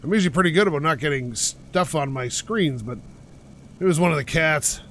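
A man hosting a driving game playthrough, pushing his voice out loud and energetic as he rambles and pokes fun at himself from his couch. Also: on the high side, brisk, a little hiss or hum. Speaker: gaming channel host. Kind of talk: casual let's-play commentary. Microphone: home microphone while playing on a couch.

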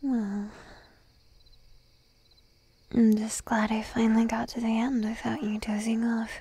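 Woman to play timid, clingy sheep-girl girlfriend for ASMR roleplay: breathy whisper, mellow tone, coaxing. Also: on the high side, level tone, dry recording. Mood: gently attentive. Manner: timid, coaxing, lingering pauses. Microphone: close microphone, dry.

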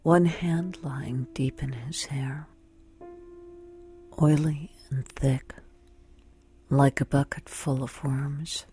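An actress playing a brooding, lovesick woman, confiding in a breathy near-whisper with measured, intimate delivery in a radio play. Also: very deep voice, steady tone, mellow, faint music behind. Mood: aching, intimate. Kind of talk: intimate dramatic monologue.

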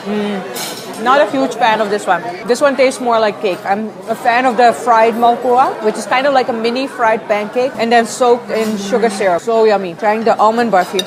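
A young woman reviewing food on camera for a vlog, expressive as she tastes and talks. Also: on the high side, normal speed. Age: young adult. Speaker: food and travel vlogger. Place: busy restaurant dining room. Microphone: clip-on lapel mic. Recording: restaurant crowd chatter behind.

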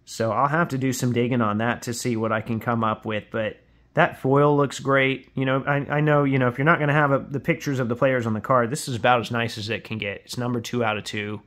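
A man's voice: medium pitch, little pitch movement, fairly quick, fairly bright tone. A man hosting in a casual, conversational way, explaining items as he shows them in an unboxing. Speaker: podcast host. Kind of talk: casual unboxing commentary on sports cards. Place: indoors at a tabletop, hands-only camera.

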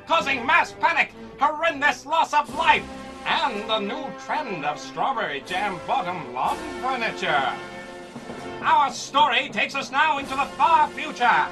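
Man as very high-pitched serial announcer. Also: rough recording. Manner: grand, melodramatic. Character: grandiose announcer of an old-time sci-fi serial. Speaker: narrator. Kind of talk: parody 1940s radio announcing.

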